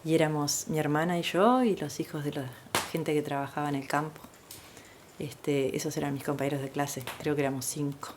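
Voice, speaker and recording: A Uruguayan woman in her sixties with a Uruguayan Spanish accent tells her own story in a relaxed, unhurried way. Her voice sits very low. Fillers such as "este" come up often, and a faint hiss runs under the recording. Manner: frequent "este" fillers, easygoing rural warmth. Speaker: interviewee.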